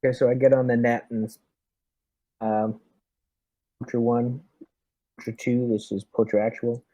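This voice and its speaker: Mellow man briefing a tabletop squad. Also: mid-range voice, level tone, no room sound, poor audio. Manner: deliberate, military briefing phrasing. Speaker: tabletop RPG player leading the squad as lieutenant. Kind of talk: tabletop roleplaying game session, giving orders in character.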